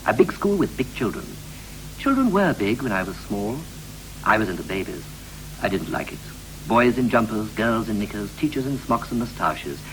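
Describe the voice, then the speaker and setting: An actor playing a wistful British husband recalling his boyhood in clipped, poetic verse with deliberate pauses, in the style of a 1960s radio play. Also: fairly low pitch, sing-song. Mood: boyish reminiscence. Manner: incantatory short phrases, deliberate pauses. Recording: vintage 1960s radio recording with hum and hiss.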